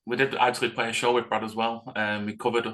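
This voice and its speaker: A man's voice: medium pitch, level tone, fast, a bit bright. A man who sings in a band, chatting casually and informally in an interview. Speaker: band vocalist.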